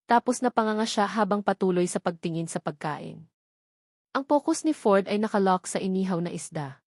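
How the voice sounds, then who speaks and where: A woman reading a novel aloud as scripted narration, with measured delivery. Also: medium pitch, animated, darkish tone, no room sound, studio quality. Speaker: audiobook narrator.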